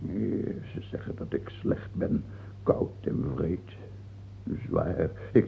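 Radio play role: cruel, raving king on his deathbed, sixties, gravelly, swinging widely in pitch, gasping, lo-fi phone-like sound. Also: heavily acted. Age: 60s. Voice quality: husky, creaky, gasping. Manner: halting, repeating himself, sudden outbursts. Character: dying sixty-year-old tyrant king, bitter, cruel, raving. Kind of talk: theatrical radio drama acting. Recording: old lo-fi radio recording, phone-like.

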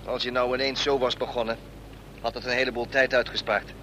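An actor playing a gruff, unflappable veteran pilot in a Dutch radio play, laconic and deadpan with grunted hums. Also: male voice, mid-range voice, brisk, close to an everyday voice. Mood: laconic, dry. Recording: noisy radio play, engine ambience.

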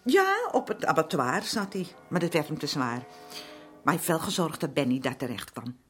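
A woman's voice: low-pitched, very animated, normal speed, soft background music. An actress playing a flustered middle-aged working-class housewife and protective mother who rambles and hesitates in colloquial speech, in a naturalistic radio drama. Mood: chatty. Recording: faint noise and clicks, old radio drama.

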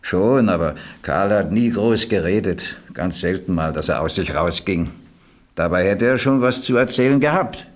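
Working class stoker in a radio play, low voice, folksy and reflective, plain talker. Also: male voice, lively intonation, normal speed. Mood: reminiscing. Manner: folksy, ruminative storytelling. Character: plain-spoken working man, boiler-room stoker, reflective. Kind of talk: German radio crime drama acting.

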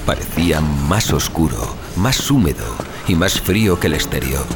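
Male narrator, very low voice, expressive intonation, scripted horror storytelling, clear music bed. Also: normal speed.

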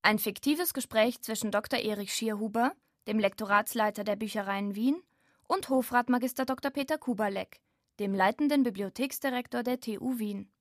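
Woman narrating a documentary from a script, highish voice, really dry recording. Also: normal speed, no echo.

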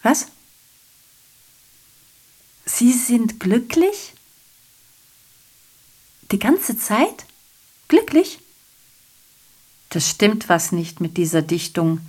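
An actress playing a garrulous, self-pitying messenger in a German radio play, giving a digressive, theatrical monologue that keeps correcting itself. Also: female voice, fairly low pitch, a little mellow, husky, exaggerated voice. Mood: indignant, aggrieved. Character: garrulous, self-pitying messenger reporting a night of revelry and shootings.

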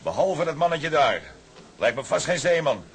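A man playing a cynical, gruff seafaring officer with a blunt, sardonic way of talking in a period drama. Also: fairly high pitch, very animated, moderate pace, a little hiss or hum. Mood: scornful.

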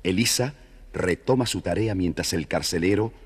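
A man narrating a scripted children's fairy tale as an expressive, dramatic storyteller. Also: sits low, normal speed, slightly rough.

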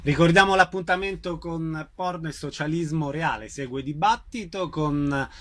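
A man's voice: a little high, normal speed. A man hosting a radio arts programme, putting questions to guests and giving out details. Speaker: radio host and interviewer.

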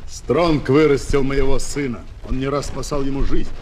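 Man playing dignified dad, solemn and measured, medium pitch, bit of old record noise. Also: steady tone, darkish tone, close to an everyday voice. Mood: grave, firm. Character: dignified, firm village father, incorruptible. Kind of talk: children's audio drama. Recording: old flexi-disc, faint noise.

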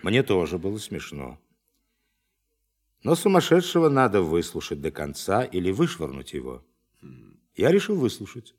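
Commanding, expressive low-voiced official. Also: male voice, moderate pace, long pauses, full character voice. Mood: earnest, emphatic. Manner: emphatic, formal oratory. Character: forceful male science official chairing a secret meeting. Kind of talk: radio play acting.

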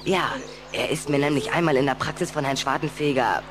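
A woman's voice: low voice, brisk, over music, natural voice. An actress playing an awkward, earnest young boy in a radio play, with a hesitant delivery. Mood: jealous, insistent. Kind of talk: German radio play acting.

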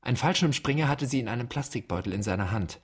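A man's voice: medium pitch, expressive, quick pace, slightly rough. An actor playing an insistent inner voice that becomes an imagined dead father, speaking tersely in a literary radio drama. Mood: pensive.

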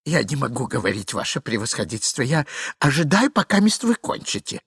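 A flustered, obsequious gentleman of middle age from a storybook, played by a man. His husky voice leaps up and down as he babbles, pleads and corrects himself. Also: fairly high pitch, sing-song, fairly quick, dry recording, clean studio sound, pitched above the performer's own voice, full character voice. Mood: pleading. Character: flustered, obsequious middle-aged jealous husband, rambling nervously. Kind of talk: theatrical literary reading.

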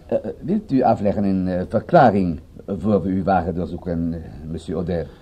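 French police inspector in a radio play, low dark voice, polite, halting, thick with french accent. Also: male voice, breathy edge. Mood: courteous, formal. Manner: hesitant, formal, searching for words. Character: courteous French police inspector speaking halting, inverted foreign sentences. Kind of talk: radio drama acting.

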